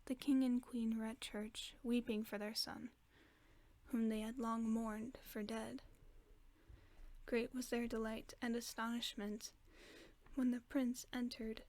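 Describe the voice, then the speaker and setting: A woman voicing a gentle, caring werewolf friend, reading a bedtime story in a soft, often whispered voice for a sleep-aid roleplay. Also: fairly high pitch, level tone. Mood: soothing. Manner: slow soothing bedtime reading.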